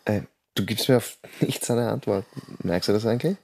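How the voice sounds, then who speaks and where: A man's voice: fairly low pitch, normal speed, a little mellow, no room sound, clean studio sound. A man playing a restless, insistent Austrian stage actor in a radio drama, telling his story and arguing. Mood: irritated, insistent. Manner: insistent, probing. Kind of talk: radio drama narration and dialogue.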